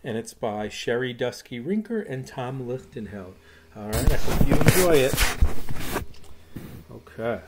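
Expressive, unhurried man reading a bedtime story. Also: mid-range voice, heavy background noise. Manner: sing-song, drawn-out words with soft hushed goodnights. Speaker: picture book reader. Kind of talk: expressive read-aloud of a rhyming children's bedtime story. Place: small room at home.

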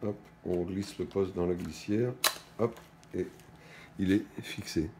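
A middle-aged man giving an unscripted hands-on product demonstration, speaking in halting, stop-and-go phrases with trailing pauses. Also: sits low, steady tone, noisy background. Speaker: product presenter. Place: indoors at a wooden table in a small shop or office.

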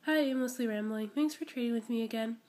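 A woman's voice: a little high, fast talker, darkish tone. A young woman vlogger with a head cold, chatting casually and rambling as she unboxes small toys, gushing and giggling. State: congested with a cold. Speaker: squishy collector vlogger. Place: indoors at home, camera pointed at a table.

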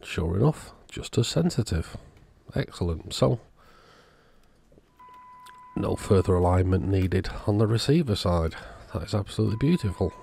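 A man narrating a hands-on repair walkthrough in a casual way, as the host of a video channel, with a gravelly, husky voice. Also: low voice, animated, moderate pace, dark tone, a little hiss or hum.

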